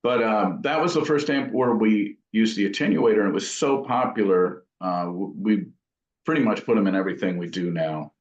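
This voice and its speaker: Low-voiced man, echoey lo-fi interview chat. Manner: thoughtful and halting, explains technical detail informally. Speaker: amp builder and company founder being interviewed. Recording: dull lo-fi sound in an echoey room.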